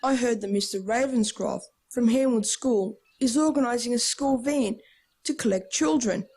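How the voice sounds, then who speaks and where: A woman's voice: a little high, normal speed, darkish tone, slightly rough. A woman playing a wry, sensible Australian schoolchild in a nostalgic radio play. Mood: dry, sensible. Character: wry, sensible school-age child, gently teasing.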